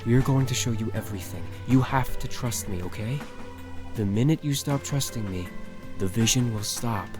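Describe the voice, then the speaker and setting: A gentle, earnest young man acted by a man in a visual novel. His mid-range voice is solemn and reassuring, with clear music playing behind it. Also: mid-range voice, moderate pace, lightly acted. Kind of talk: visual novel game voice acting. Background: clear background music.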